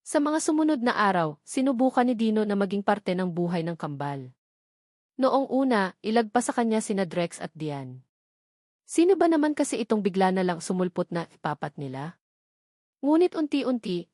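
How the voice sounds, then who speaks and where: Female Filipino audiobook narrator, mid-pitched, measured dramatic delivery with weighted pauses, close dry recording. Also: darkish tone, no echo. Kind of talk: scripted dramatic story narration.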